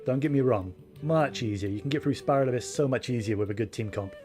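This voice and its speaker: A man chatting casually on a game livestream, rambling and thinking aloud in a low-key way. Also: fast talker, darkish tone. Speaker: game streamer. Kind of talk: casual livestream chat over gameplay. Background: game music.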